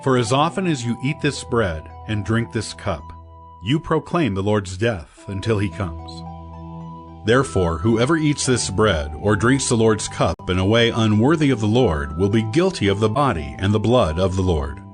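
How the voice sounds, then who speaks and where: Lively-pitched male scripture narrator over music. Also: normal speed. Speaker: audio Bible narrator. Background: background music.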